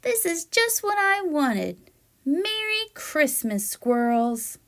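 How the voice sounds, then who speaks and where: A woman's voice: extremely high pitch, very animated, takes it slowly, voice pushed up, exaggerated voice. A woman voicing a polite, easily pleased male squirrel visitor in a children's storybook, full of wondering exclamations. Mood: delighted.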